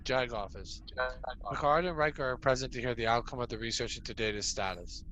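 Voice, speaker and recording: A man's voice: medium pitch, brisk, bright, forceful tone, low-quality recording. A man reading out stage directions as narrator of a table read, with some background noise.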